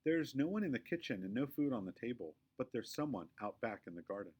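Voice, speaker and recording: A man reading a children's story aloud as narrator, in an expressive, emphatic read-aloud style. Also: medium pitch, fast talker, breathy edge. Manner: animated, emphatic. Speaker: storybook reader.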